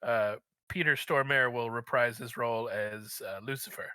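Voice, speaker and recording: A man's voice: mid-range voice, steady tone, normal speed, bright, forceful tone, clear, resonant tone, no echo. A man co-hosting a livestream in casual banter, playfully riffing with mock seriousness. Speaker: guest co-host.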